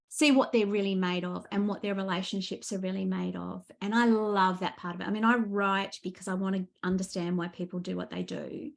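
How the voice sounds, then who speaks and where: A woman's voice: medium pitch, creaky. Reflective woman author in conversation. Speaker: guest author. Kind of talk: casual book interview chat.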